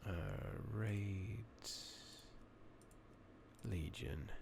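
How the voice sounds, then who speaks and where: A man giving a soft-spoken, partly whispered ASMR game playthrough, musing to himself and reading game text aloud over a noisy makeshift microphone. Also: fairly low pitch, drawn-out words, gravelly. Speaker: ASMR gaming streamer. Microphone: close makeshift replacement microphone.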